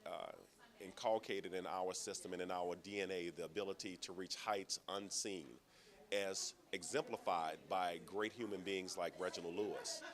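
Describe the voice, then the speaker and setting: A university system official speaking earnestly to camera, with lofty, inspirational phrasing. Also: male voice, low-pitched. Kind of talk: interview statement to camera.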